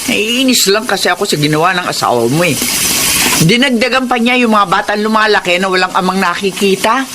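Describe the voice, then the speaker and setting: A woman's voice: mid-range voice, animated, a little fast, background noise, close to an everyday voice. A woman playing a meddling, loyal older female neighbor in a Filipino radio drama, with an emphatic manner. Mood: indignant. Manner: emphatic, scolding or chatty.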